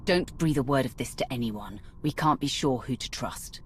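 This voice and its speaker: A woman's voice: extremely low pitch, animated, normal speed, a little hiss or hum. A woman playing a ruthless military commander in a video game, speaking in a commanding, dryly sarcastic way. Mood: curt, businesslike. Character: ruthless, sardonic female tyrant commander. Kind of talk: dramatic video game acting.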